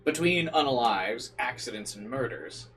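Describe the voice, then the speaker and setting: A man with a mid-pitched voice reads a visual novel aloud in a casual let's play style. He mixes narration with informal asides and stumbles now and then. Faint music plays behind him. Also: animated, moderate pace, fairly bright tone. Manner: informal asides, occasional stumbles while reading.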